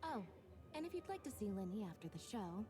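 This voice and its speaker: A woman voicing a calm, matter-of-fact magician's assistant in a video game, speaking reassuringly. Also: medium pitch, brisk, close to an everyday voice. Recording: music clearly behind the voice.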